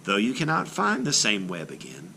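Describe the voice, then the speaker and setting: A man voicing a gentle, caring village wife in a storybook, speaking softly. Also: lowish voice, wildly swooping pitch, moderate pace, gravelly, slight background noise, exaggerated voice. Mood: gentle, concerned. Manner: soft, gentle, quietly questioning. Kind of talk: storybook read-aloud character voice.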